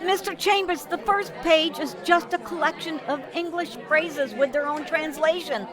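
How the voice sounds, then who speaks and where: A woman's voice: high-pitched, normal speed, a crowd in the background, close to an everyday voice. A woman in amateur radio theater playing an anxious codebreaker, urgent and pleading as she gives warning. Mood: desperate warning. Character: anxious female codebreaker desperately warning a man.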